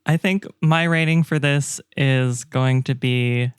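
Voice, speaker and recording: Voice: medium pitch, fairly even intonation, slow, clean tone, dry recording, clean studio sound. A man speaking as a podcast guest in a casual discussion, thinking aloud in a reflective, tentative way. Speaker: game developer.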